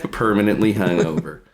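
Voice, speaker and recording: A man's voice: on the low side, measured pace. A man co-hosting a podcast in casual comedic chat, crude, profane and self-deprecating. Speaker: podcast co-host.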